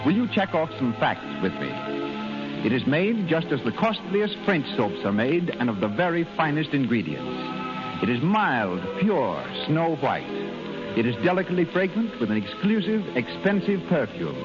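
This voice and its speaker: A man announces in emphatic 1930s radio style, his fairly high voice swinging widely in pitch. The recording is muffled and vintage, with music clearly behind him. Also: sing-song, normal speed. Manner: declamatory, emphatic.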